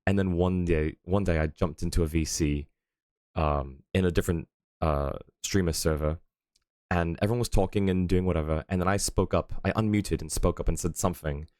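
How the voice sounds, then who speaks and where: A man with a very low, deep voice talks casually to his stream audience from a home setup. He rambles, filling gaps with ums and small chuckles. The sound is dry and close, with no room echo. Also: moderate pace, a little mellow. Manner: rambling, lots of fillers and chuckles.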